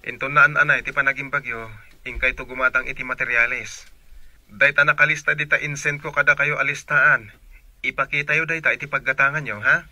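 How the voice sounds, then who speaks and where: A man's voice: mid-range voice, steady tone, fairly quick, sharp tone, no room sound, muffled recording. A man playing an eager, insistent grown son in a radio drama, with a Filipino Ilocano accent. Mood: brisk, bossy.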